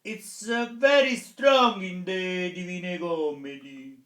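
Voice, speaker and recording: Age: adult. Sex: man. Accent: Italian. Role: professor. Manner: halting lecture. Pitch: high. Pace: slow. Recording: echoey room. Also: fairly bright tone. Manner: hesitant, many pauses and fillers. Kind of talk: public lecture.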